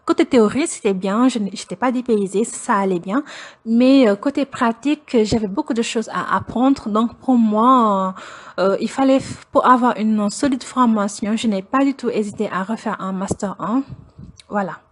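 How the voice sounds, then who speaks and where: A woman's voice: fairly high pitch, normal speed. A young woman from Senegal, a doctoral student, telling the story of her studies in an interview in an earnest, storytelling way. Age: young adult. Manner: earnest, storytelling with light laughs. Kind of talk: personal account in an interview.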